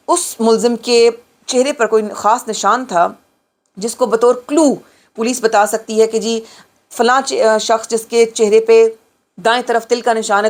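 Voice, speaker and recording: A woman's voice: on the high side, darkish tone. A middle-aged Pakistani woman giving an explanatory lecture, emphatically repeating and rephrasing key points and mixing in English phrases. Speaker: lawyer giving a law lecture.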